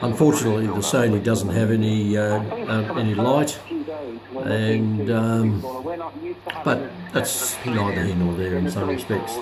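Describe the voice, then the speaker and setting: Hobbyist reviewer, male, fairly low voice, casual and hesitant, unscripted demo with clear chatter in the background. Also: fairly even intonation, poor audio. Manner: halting, hesitant, searching for words. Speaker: presenter of a hobbyist radio review video. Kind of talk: unscripted product demonstration, casual. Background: radio broadcast voices playing from the demonstrated receiver.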